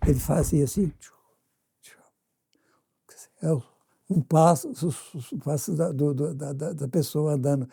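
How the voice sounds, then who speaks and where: A man with a Brazilian accent, explaining and reminiscing casually in a halting, demonstrative way. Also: on the high side, creaky, mellow, slightly rough, dry recording, studio quality.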